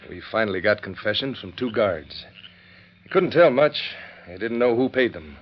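Casting: authoritative frontier marshal, lowish voice, matter-of-fact, old radio Western, muffled sound. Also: male voice, expressive, moderate pace. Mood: businesslike. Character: businesslike, purposeful lawman of the Old West. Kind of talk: classic radio Western acting. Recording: dull, lo-fi old radio recording.

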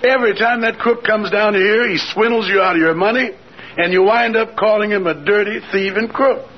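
A man's voice: high-pitched, moderate pace. An actor playing a skeptical male tavern employee in a 1950s radio comedy, dry and sardonic. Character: wry, skeptical tavern employee puncturing his boss's illusions. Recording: muffled, slightly noisy old radio broadcast.

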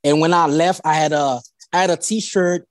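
Casting: man from Haiti, fairly high voice, informal halting storyteller who repeats himself, dry close sound. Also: fairly even intonation, no room sound. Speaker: interview guest. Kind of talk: casual personal storytelling.

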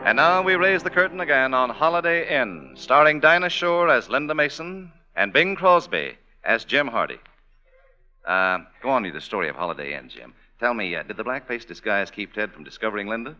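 A man hosting and announcing a 1940s radio show with a crisp delivery, chatting with the lead. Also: mid-range voice, sing-song, fairly quick, sharp tone, soft background music. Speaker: announcer and host. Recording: dull 1940s radio with faint audience.

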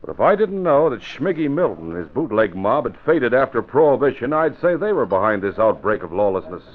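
Worried police commissioner, old time radio, grave n emphatic, very lively pitch, tinny phone-like sound. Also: male voice, a little fast. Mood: grave concern. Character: earnest male police commissioner worried about organized crime. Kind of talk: 1940s radio acting.

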